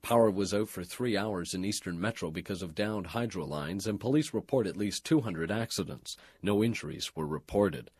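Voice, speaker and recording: Deep, crisp-voiced male radio newsreader. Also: lively intonation, a little mellow, dry recording, studio quality. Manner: formal, measured broadcast delivery. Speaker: radio news and sports announcer. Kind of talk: scripted radio news bulletin reading.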